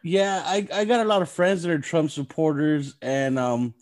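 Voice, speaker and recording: Man, slightly high voice, podcast host, casual, agreeable, informal slang. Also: fairly even intonation, normal speed, darkish tone.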